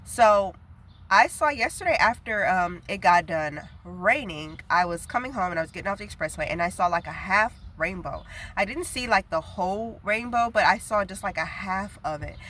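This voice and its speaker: A woman's voice: medium pitch, animated, normal speed, a bit bright. Nasal-sounding woman with a head cold. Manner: chatty, earnest, rambling with fillers. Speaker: prophetic video preacher. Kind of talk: casual spoken prophetic message.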